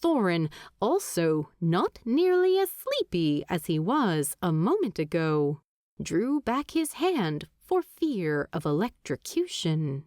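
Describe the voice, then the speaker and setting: A woman narrating a story from a script in an expressive, deliberate, dramatic way. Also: lowish voice, no echo, studio quality. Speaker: fan fiction narrator.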